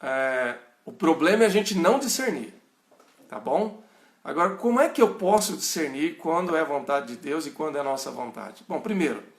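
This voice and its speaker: A middle-aged pastor with a caipira accent from the São Paulo and Minas Gerais countryside, with a somewhat high voice for a man. He teaches in a relaxed, conversational way, often checking in with his listeners. The room around him is echoey. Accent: rural São Paulo and Minas Gerais Brazilian. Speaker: pastor teaching a Bible study. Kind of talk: informal teaching answering viewers' questions.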